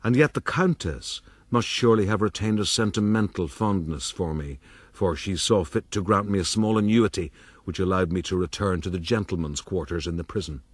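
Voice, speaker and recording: An actor playing a proud, roguish gentleman who narrates his own story in a florid, boastful, rueful way for a period radio drama. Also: low voice, normal speed, slightly rough, dry recording.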